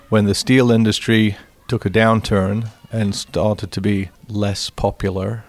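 A man from England with an English accent, telling his own immigration story in a measured, reflective way. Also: on the low side, no echo. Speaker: interviewee.

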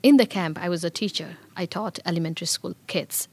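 Earnest Bhutanese woman, lowish voice. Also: fairly quick, a little mellow, husky, dry recording. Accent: Bhutanese Nepali. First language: Nepali. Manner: reflective, earnest. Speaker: interviewee. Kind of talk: personal storytelling.

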